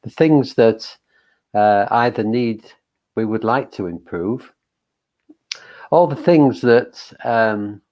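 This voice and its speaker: Slow, deep-voiced man giving a gentle dharma talk. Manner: gentle, halting, thinking aloud with many fillers. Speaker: meditation leader. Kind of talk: reflective Buddhist dharma talk.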